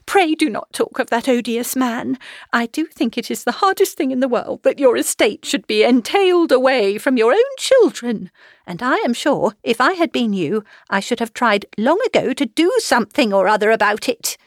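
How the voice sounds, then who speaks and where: Audiobook role: woman doing high, shrill, excitable fussing mother, swooping lively voice, dry close mic. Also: dry recording. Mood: distressed. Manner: shrill, rapid complaining outbursts. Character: fretful excitable middle-aged mother, shrill. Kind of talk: audiobook reading.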